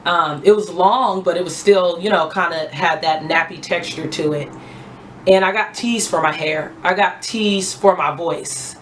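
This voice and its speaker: Young woman w a raspy voice, chatty and heartfelt, slight hiss behind. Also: measured pace, fairly bright tone, poor audio. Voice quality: raspy, low voice. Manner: chatty, heartfelt, thanks God often. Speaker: beauty vlogger. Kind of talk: casual chat while doing skincare.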